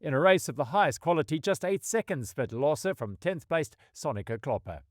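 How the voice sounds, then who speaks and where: A man narrates race results in a polished, scripted sports voice. His pitch moves about a lot, giving it a lively lilt. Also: a little fast. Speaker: highlights narrator.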